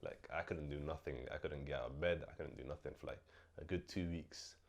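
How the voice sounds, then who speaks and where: Low-voiced man chatting casually, deep and relaxed. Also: fairly quick. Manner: relaxed, chatty, many fillers. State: recovering from illness, a bit low-energy. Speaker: host. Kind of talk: casual vlog talk to camera.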